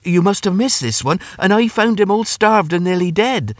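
An eager young farm boy from a storybook, acted out by a man, pitched well up. He pleads earnestly, and the sound is close and dry. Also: lively intonation, moderate pace, dry recording. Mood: pleading. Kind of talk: storybook character voice.

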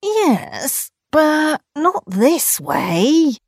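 A timid young kangaroo, put on by a woman reading a storybook, pitched well above her usual voice. It speaks haltingly and apologetically, with a dry, close sound. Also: very animated, darkish tone, no echo, full character voice. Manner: hesitant, apologetic, high-pitched.

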